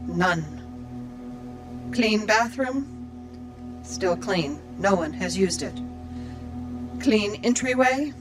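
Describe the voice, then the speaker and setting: A woman's voice: medium pitch, lively intonation, drawn-out words, pauses often, rough recording. A woman playing a dutiful, earnest android librarian in an audio drama, speaking in formal, precise, procedural phrases. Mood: dutiful, methodical. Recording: music behind the voice. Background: music.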